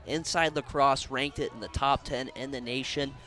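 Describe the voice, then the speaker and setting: A man's voice: medium pitch, steady tone, normal speed, pushed and bright. A man giving live sports analysis as a color commentator.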